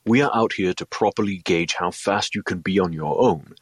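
An actor playing a stately, encouraging alien trainer with a formal, measured manner in an audio drama. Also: male voice, deep voice, breathy edge, no echo. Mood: instructive.

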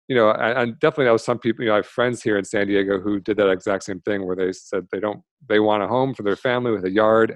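A man's voice: fairly low pitch, dry recording. A man from Michigan with an American accent, talking loosely as a podcast guest, with many fillers and restarts. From Michigan, USA. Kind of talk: casual interview talk.

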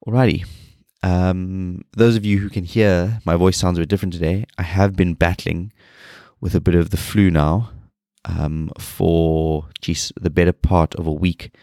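A man in his thirties hosting a solo podcast, sounding nasal from a cold and talking in a low-energy, rambling way. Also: flat delivery, a little slow, warm tone, dry recording, studio quality. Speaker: podcast host.

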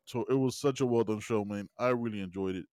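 A man's voice: fairly low pitch, fast, a little mellow, no room sound. A man co-hosting a casual show review, telling stories animatedly and often asking "you know what I mean". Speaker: podcast co-host.

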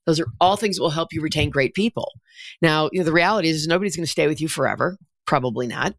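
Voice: medium pitch, quick pace, no echo, clean studio sound. A podcast host asking conversational questions and giving frequent small affirmations. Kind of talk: interview conversation.

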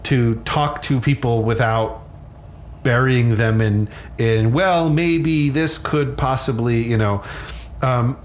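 A man's voice: mid-range voice, slow pace. Man explaining science over background noise. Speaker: sleep scientist, interview guest. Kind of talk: conversational expert explanation.

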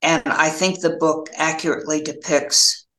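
An older American woman giving reflective interview answers as a novelist, in a halting, thoughtful way with pauses mid-phrase. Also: sits low, steady tone, sharp tone, reverberant, rough recording.

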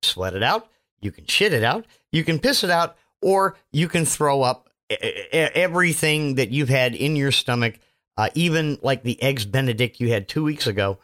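A man hosting his own podcast, his voice still hoarse from illness, rambling casually with lots of fillers and self-mocking asides. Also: mid-range voice, lively intonation, normal speed, a bit bright. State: hoarse, recovering voice. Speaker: podcast host. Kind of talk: casual solo podcast monologue.

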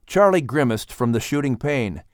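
A man narrating a children's audiobook in an expressive, measured storytelling style. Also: medium pitch, dry recording, clean studio sound.

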